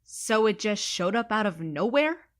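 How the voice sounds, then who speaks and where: A woman's voice: medium pitch, expressive, measured pace, close to an everyday voice. A woman playing a sarcastic, irreverent young recruit in a sci-fi comedy audio drama, casual and flippant. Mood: incredulous. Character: snarky, irreverent young woman, reluctant space recruit and narrator. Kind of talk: comedy sci-fi audio drama, first-person narration and dialogue.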